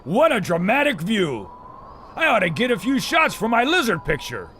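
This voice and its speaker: An actor playing a brash, excitable showman cameraman with a comic streak in a retro adventure radio drama. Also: sits high, moderate pace, faint music behind, full character voice. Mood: cocky. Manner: brash, self-promoting.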